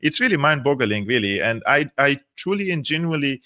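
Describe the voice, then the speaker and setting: A man's voice: medium pitch, big pitch swings, a little fast, sharp tone. A man from Syria hosting a political livestream, talking earnestly and often repeating words as he searches for phrasing. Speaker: political commentary host.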